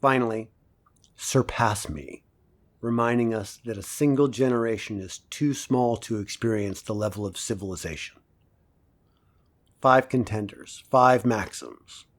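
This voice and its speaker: An actor playing a philosophical male professor lecturing a class in an audio drama, deliberate and rhetorical, with emphatic pauses. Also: on the low side, natural voice. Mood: didactic.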